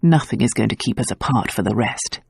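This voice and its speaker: A gruff, devoted nobleman speaks low and steady, his voice dropped well below the reader's own. A woman narrator acts him out. The recording is dry and close. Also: fairly quick, no echo, full character voice. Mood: tender. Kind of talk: audiobook character voice.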